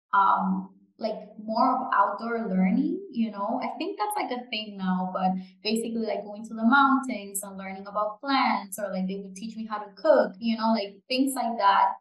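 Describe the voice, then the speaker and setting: A woman's voice: mid-range voice, measured pace, highs cut off. Chatty young woman in an echoey room. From Canary Islands, Spain. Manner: chatty, many fillers and "you know". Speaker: podcast co-host. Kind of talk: casual chat.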